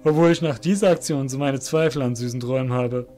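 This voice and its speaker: A man playing an irritable, authoritative master of a shadow guild, speaking loudly and emphatically. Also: medium pitch, fast, soft background music, lightly acted. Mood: stern, annoyed.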